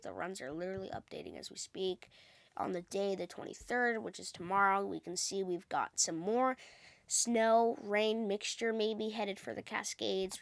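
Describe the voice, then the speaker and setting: A woman's voice: mid-range voice, no echo. A woman who is a little sick, giving an informal, explanatory amateur weather forecast as she talks through maps. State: sick with a cold. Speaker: amateur weather vlogger, host. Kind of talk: casual weather forecast commentary over maps.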